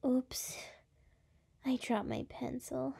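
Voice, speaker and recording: Woman acting a slow, breathy, brash young gal. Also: high-pitched, steady tone, mellow, exaggerated voice. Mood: scheming, teasing. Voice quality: breathy, often dropping into whispers. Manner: halting, teasing, exasperated. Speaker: voice actress. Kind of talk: ASMR roleplay.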